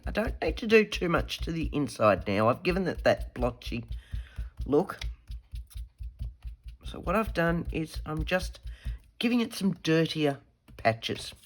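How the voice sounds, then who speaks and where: An Australian woman talks through a craft demonstration at her desk. Her voice is croaky from a head cold. She chats casually, thinking aloud and correcting her own words as she goes. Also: on the low side. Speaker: craft tutorial host.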